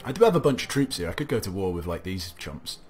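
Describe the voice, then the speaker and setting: Deep-voiced man musing over gameplay. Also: very animated, quick pace, darkish tone. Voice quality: slightly gravelly. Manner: musing aloud, chatty, self-talking. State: recovering from a cough, slight gravel. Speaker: let's play commentator. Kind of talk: casual gameplay commentary. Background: faint game music.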